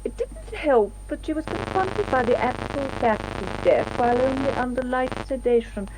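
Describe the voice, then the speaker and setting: An actress playing a brisk, detached doctor in a British radio drama, articulate and matter-of-fact with a British accent. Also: female voice, high voice, animated, noisy background. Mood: clinical, matter-of-fact. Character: brisk, clinical female doctor, detached and talkative.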